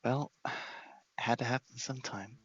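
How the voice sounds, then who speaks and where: A man giving casual, understated ASMR gameplay commentary in a breathy half-whisper close to the mic. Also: mid-range voice, fairly even intonation, a little slow, fairly bright tone, lo-fi sound, soft background music. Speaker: video game player and host.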